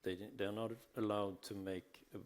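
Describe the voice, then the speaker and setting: A man moderating a panel, brisk and directing as he keeps things to time and presses the speakers. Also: on the low side, level tone.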